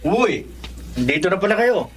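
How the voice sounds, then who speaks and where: A man's voice: high-pitched, very animated, moderate pace. An actor playing a friendly, gossipy young Filipino man chatting casually with old schoolmates in a radio drama. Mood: chatty, gossipy. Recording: clear ambient background noise.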